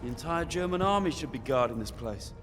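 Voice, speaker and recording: An actor playing a level-headed special forces soldier in a war game, serious and matter-of-fact. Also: male voice, medium pitch, lively intonation, fairly quick, music in the background, close to an everyday voice. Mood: watchful. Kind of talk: cinematic war game acting.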